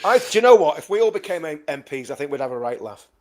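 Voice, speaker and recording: Man, fifties, very high lively voice, excitable banter, voices and laughter around. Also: quick pace, crowd noise. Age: 50s. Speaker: panellist.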